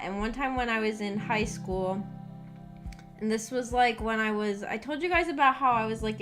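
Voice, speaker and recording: A young adult woman chatting casually as a livestream host, telling rambling anecdotes in a giggly, filler-heavy way. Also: mid-range voice. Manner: giggly, animated storytelling with frequent "like". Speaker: streamer hosting a visual novel book club. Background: background music.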